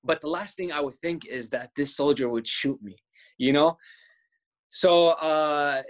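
A man's voice: on the high side, measured pace. A young man from Palestine, a filmmaker guest on a podcast, telling his story earnestly and often restarting his words.

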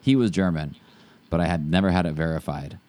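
A man's voice: sits low, fairly quick, a little mellow. A man co-hosting a podcast interview who jokes and chats playfully with the guest. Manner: joking, playful. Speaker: podcast co-host. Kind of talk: casual interview chat. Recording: clear background noise.

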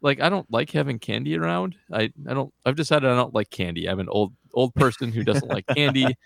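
A man's voice: mid-range voice, fairly quick, creaky, a bit bright, no echo. A man co-hosting a casual sports podcast, speaking in a dry, wry, grumbling way. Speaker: podcast co-host.

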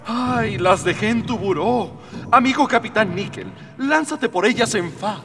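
A man's voice: sits high, a little slow, vocal fry. A man playing an idealistic young rebel prince, earnest and declamatory, in a radio drama. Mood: brisk, exasperated. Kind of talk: Spanish-language radio drama acting. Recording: long reverb, music behind.

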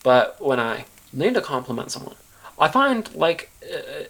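A man's voice: a little high, big pitch swings, measured pace, fairly bright tone, rough recording. A man performing a first-person audio drama monologue as narrator, in an awkward, earnest storytelling manner. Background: music.

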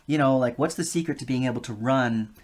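A man's voice: medium pitch, fairly quick. A man, a guest on an interview show, talking casually and with enthusiasm, laughing easily. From United States. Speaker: guest, polyglot.

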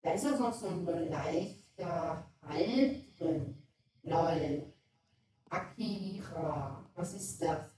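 A woman with a robot voice effect comments casually on a game. She talks very slowly, sounding distant and drenched in echo. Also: deep voice, a crowd in the background. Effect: robot voice changer. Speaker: gaming streamer.

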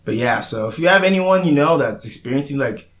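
Young man, casual podcast talk, rambling, restarts often, mid-pitched voice, echoey room. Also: expressive, brisk, fairly bright tone. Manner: candid, rambling, many restarts and fillers. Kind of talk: casual conversation.

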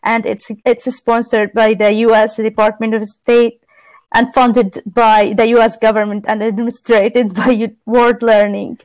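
Casting: young woman, Palestinian Arabic accent, podcast guest, crisp clean tone, very dry recording. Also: a little high, level tone, unhurried, a little mellow, no room sound, low-quality recording. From Gaza, Palestine. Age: young adult. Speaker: podcast guest, exchange student. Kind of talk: conversational interview answers.

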